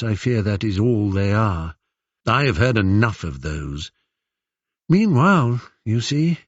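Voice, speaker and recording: A man's voice: medium pitch, dry recording, highs cut off. A man voicing a gracious, weary queen in a storybook, speaking with formal, regal courtesy. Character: gracious, weary, dignified queen, a grown woman.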